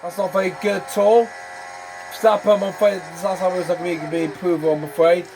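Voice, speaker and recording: A man's voice: sits high, little pitch movement, fast, darkish tone, a little hiss or hum, poor audio. A man hosting a gaming channel, commenting on a racing management game while losing his voice, with a repetitive habit of saying "then" and "I'm afraid".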